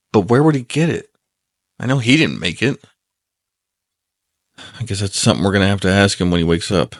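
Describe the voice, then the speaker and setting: A man's voice: fairly low pitch, no echo, studio quality, heavily acted. An actor playing a wary, puzzled man musing aloud to himself in an audio drama.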